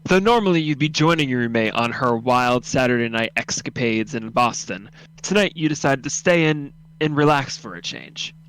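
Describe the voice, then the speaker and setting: Young adult man, clear smooth voice at an average pitch, casual stream host and story narrator. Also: lively intonation, moderate pace, fairly bright tone, poor audio.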